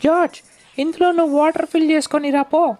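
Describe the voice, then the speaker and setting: A woman's voice: sits high, fairly even intonation, brisk, lightly acted. A woman voicing a bossy, playful young girl pig in a children's cartoon, with a childlike, pleading way of talking.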